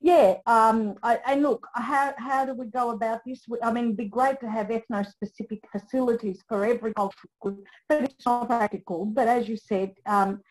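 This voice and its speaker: A woman's voice: on the high side, steady tone, normal speed, a little mellow, rough recording. A Greek-born woman whose first language is Greek, an aged care professional and carer, speaking reflectively with many restarts over a remote call. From Greece. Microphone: remote call without camera.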